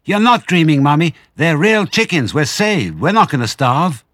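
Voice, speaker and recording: A man voicing an excitable young boy fox in a storybook, breathless and spluttering with eagerness. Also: fairly high pitch, normal speed, no room sound, studio quality, pitched above the performer's own voice. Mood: breathless excitement.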